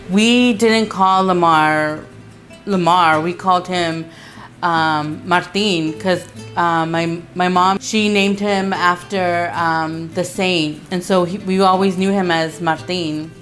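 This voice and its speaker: A woman's voice: fairly low pitch, fairly even intonation, takes it slowly. A woman speaking plainly and reflectively about her family in a documentary interview. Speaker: interviewee, sister. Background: soft music.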